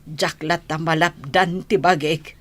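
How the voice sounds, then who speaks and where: A woman's voice: low-pitched, steady tone, measured pace, fairly bright tone. A woman acting an anxious, loving elderly mother in an Ilocano radio drama, sighing, fretting and scolding. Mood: fretful scolding.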